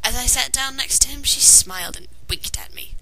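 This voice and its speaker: A woman's voice: high-pitched, lively intonation, normal speed, pushed and bright, no room sound. A woman reading a fan fiction story aloud as narrator, in a dramatized way. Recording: noisy amateur home recording.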